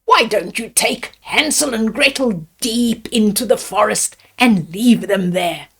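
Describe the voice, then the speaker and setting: A woman's voice: medium pitch, animated, slow pace, vocal fry, slightly rough, heavily acted. A woman voicing a wicked, heartless stepmother in a fairy tale, scheming and coolly persuasive.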